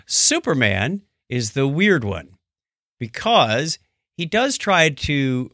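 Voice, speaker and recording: A man's voice: medium pitch, big pitch swings, takes it slowly, sharp tone, dry recording, studio quality. A man co-hosting a podcast in a casual, chatty style. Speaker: podcast co-host.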